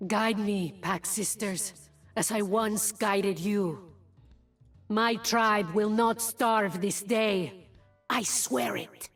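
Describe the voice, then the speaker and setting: A fierce shapeshifting jungle huntress from a video game. She speaks slowly in short, deliberate lines with proud menace. Music plays underneath. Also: mid-range voice, steady tone, recorded from a distance. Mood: solemn, resolute. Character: fierce, proud adult huntress who shifts into a cougar.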